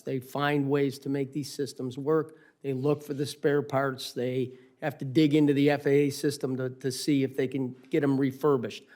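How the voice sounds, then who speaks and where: An American man giving unscripted formal testimony as a witness, with halting starts and repeated words. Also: medium pitch, a little mellow. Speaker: technicians' representative testifying as witness.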